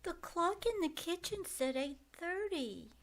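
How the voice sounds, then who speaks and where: Woman as little girl, radio play: very high voice, slow delivery, eager yet worried. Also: big pitch swings, full character voice. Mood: worried, pleading. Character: eager, anxious little girl waiting for her parents. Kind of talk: old-time radio drama acting, live on stage.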